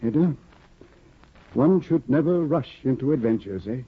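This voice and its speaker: A man's voice: mid-range voice, lively intonation, moderate pace, slightly rough. A man playing a fussy, anxious young scholar husband in a 1950s radio drama, stammering and eager. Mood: flustered. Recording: tinny old radio broadcast.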